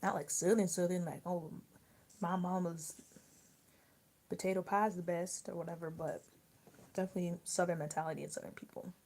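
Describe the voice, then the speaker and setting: A young woman hosting a casual livestream while she eats dinner. She rambles and drops often into soft near-whispers. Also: fairly low pitch, steady tone, fairly quick, vocal fry, long pauses. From US mid-Atlantic, rural border area. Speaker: livestream host. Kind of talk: casual chat with live viewers while eating.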